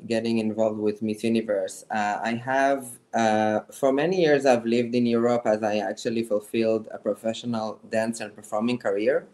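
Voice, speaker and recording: A man being interviewed as a guest, telling his life story in a reflective, halting way. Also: mid-range voice, a little mellow. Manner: halting, many fillers and restarts, reflective. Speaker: guest, fashion designer.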